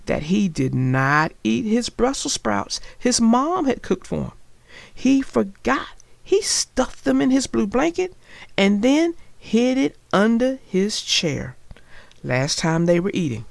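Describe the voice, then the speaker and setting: A woman narrator reads aloud for children in a fairly high voice with lots of melodic movement. Her delivery is expressive and unhurried. The sound is close and dry. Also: creaky, no echo. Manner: expressive storytelling. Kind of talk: children's story read-aloud.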